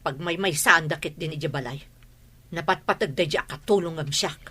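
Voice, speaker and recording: A woman's voice: deep voice, brisk, bright, forceful tone, husky, heavily acted. A woman with an Ilocano accent playing a stubborn, aggrieved grown daughter and wife in an audio drama. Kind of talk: Ilocano radio drama acting.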